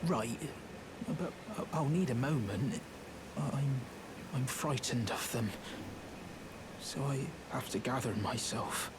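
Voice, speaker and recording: A man's voice: mid-range voice, takes it slowly, pauses often, noisy background, natural voice. A man voicing a timid, pitiful small outcast creature in a video game, meek, grateful and hesitant. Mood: nervous, earnest. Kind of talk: video game character acting. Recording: music behind the voice.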